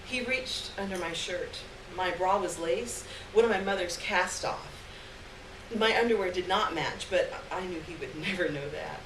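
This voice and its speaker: A woman giving an expressive dramatic reading of a literary short story live on stage, acting out the dialogue. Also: fairly low pitch, normal speed. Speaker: story reader. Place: live stage event, recorded from a distance. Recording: roomy distant live recording, clear background noise.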